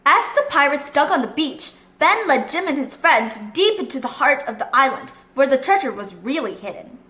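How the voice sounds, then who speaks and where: High, animated woman reading a storybook. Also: normal speed, echoey room. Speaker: children's storybook narrator. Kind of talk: expressive read-aloud narration.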